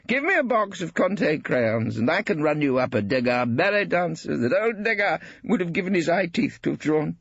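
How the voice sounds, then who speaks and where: Old bohemian painter, male, expansive and boastful; raised, husky. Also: sing-song, normal speed, hardly any pauses, fairly bright tone, no room sound, highs cut off, heavily acted. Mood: boisterous. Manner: booming, theatrical, expansive. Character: boisterous hearty old male artist, swaggering.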